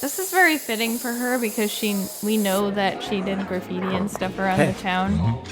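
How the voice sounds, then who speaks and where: A woman's voice: medium pitch, moderate pace, very noisy. A woman hosting a gaming video, giving casual, unscripted reaction and ranking commentary in an informal, conversational way, thinking aloud.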